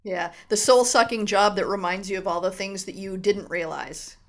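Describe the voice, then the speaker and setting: A woman hosting a podcast interview, welcoming her guest warmly and reacting with effusive encouragement. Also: medium pitch, fairly even intonation, normal speed. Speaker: podcast host.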